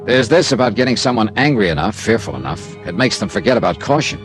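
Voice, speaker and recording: An actor playing a tough, wry insurance investigator in a classic radio drama, clipped and sardonic. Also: male voice, on the low side, expressive, fast talker, natural voice. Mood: dry narrating. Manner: clipped, sardonic, hard-boiled. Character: tough, wry freelance insurance investigator, narrating his case. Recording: vintage radio drama with music.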